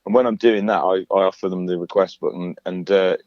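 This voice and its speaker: A singer-songwriter guest in a radio interview, talking in a relaxed, chatty way with lots of fillers and restarts in his low voice. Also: brisk, dry recording, highs cut off. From England.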